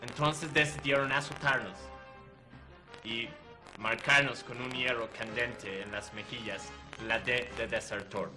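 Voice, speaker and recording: A man's voice: medium pitch, steady tone, natural voice, poor audio. A man playing a proud Irish deserter captain. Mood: solemn. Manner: measured, earnest storytelling. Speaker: guest in character. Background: music underneath.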